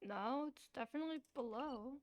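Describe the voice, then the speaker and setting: A woman giving casual, expressive live commentary as a game streamer, often reacting and muttering to herself. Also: high-pitched, normal speed, a little hiss or hum.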